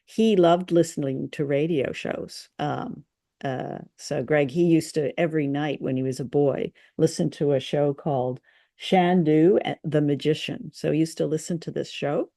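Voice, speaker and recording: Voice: medium pitch, fairly even intonation, moderate pace, gravelly, warm tone, no echo, studio quality. A co-host in casual chat, sharing trivia in a chatty, playful, slightly tentative way.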